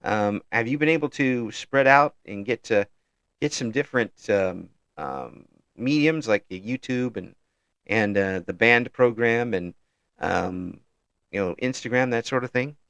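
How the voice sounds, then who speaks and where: An older man hosting an interview in an easygoing chat, often pausing with ums and restarting his sentences. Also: medium pitch, expressive, fairly bright tone, no echo. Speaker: interview host.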